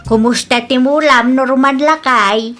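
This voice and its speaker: A woman plays an elderly Filipino mother in a family audio drama. Her voice is high, and she speaks with gentle, prayerful concern for her household. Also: measured pace, over loud music.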